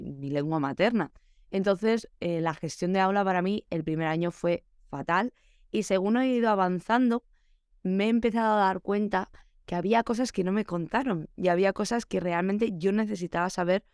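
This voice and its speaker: Young woman chatting on her teacher podcast, smooth clear voice, dry close mic. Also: lowish voice, darkish tone, no echo. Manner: chatty, reflective, advice-giving. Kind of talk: solo podcast monologue.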